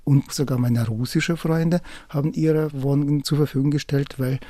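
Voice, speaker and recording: A man from southern Ukraine, speaking in slightly broken phrases and a warm-hearted way as a radio guest. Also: fairly high pitch, steady tone, moderate pace, dry recording, studio quality. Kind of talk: radio interview.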